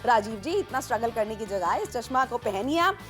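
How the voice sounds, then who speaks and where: A woman's voice: on the high side, lively intonation, a little fast, soft background music. A woman co-presenting on a home shopping channel, emphatic and eager as she sells. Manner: eager, emphatic selling with exclamations. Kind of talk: live TV sales pitch. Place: TV shopping studio.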